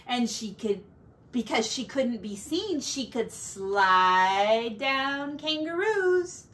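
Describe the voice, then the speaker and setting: Animated, high-voiced woman reading a storybook. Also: slow pace. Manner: expressive, dramatic emphasis with asides to listeners. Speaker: teacher reading aloud. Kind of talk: storybook read-aloud for young children. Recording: slightly distant mic, faint background noise.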